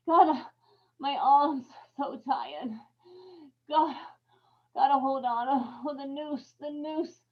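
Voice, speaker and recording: A high-voiced woman in an old-time radio horror drama, desperate and wracked with guilt. She pleads in broken phrases and cries out, and the recording is dull and lo-fi. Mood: exhausted, crying despair.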